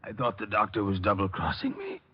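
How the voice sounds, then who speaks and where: An actor playing a wounded crook slowly gasping out a confession in a breathy, laboured voice, in old radio drama style. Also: male voice, lowish voice, huge pitch swings, full character voice. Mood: weak, defeated. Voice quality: husky, breathy. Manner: slow, laboured, halting. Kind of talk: 1940s-50s radio detective acting. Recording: muffled vintage radio recording.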